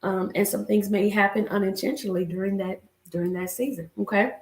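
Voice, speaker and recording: Chatty woman coach with a slightly raspy voice. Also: medium pitch, steady tone, brisk, echoey room. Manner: chatty, many filler restarts. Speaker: relationship coach. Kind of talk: casual live stream talk and book reading.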